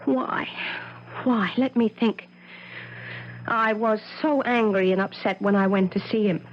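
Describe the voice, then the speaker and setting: A woman's voice: medium pitch, a little slow, natural voice. An actress playing an intense, earnest woman professor who insists on her innocence, in 1970s radio drama style. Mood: troubled. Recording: dull, slightly noisy 1970s radio recording.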